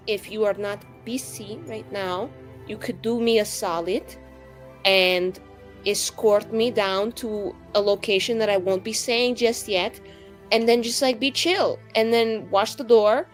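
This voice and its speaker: A woman's voice: on the high side, close to an everyday voice. A woman voicing a brash, sly young schemer in tabletop roleplay, rambling in slang and cajoling with mock sincerity. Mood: teasing, cajoling. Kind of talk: improvised tabletop roleplay dialogue. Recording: music behind the voice.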